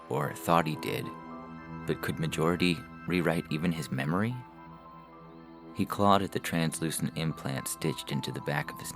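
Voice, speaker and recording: A man narrates fiction in an extremely low register with a gravelly creak. He reads from a script as the storyteller. Music plays clearly behind his voice. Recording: music bed under the voice.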